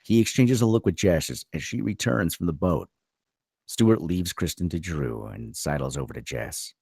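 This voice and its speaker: Very deep, gravelly male narrator. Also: lively intonation, moderate pace, warm tone, dry recording, clean studio sound. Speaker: narrator reading stage directions. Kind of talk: scripted narration at a table read.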